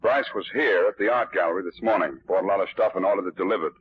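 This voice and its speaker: A gruff, dismissive police chief in 1940s radio drama. His voice is very deep and rough-edged, with brusque phrasing. The recording sounds dull and aged. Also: quick pace. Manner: gruff, blunt. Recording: muffled old radio recording.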